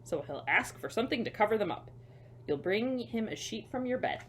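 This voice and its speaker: Expressive woman reading aloud to children. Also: on the high side, fairly quick, slight background noise. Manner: expressive, with simple explanations and asides to young listeners. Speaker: children's librarian storyteller. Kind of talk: picture-book read-aloud for children with chatty asides.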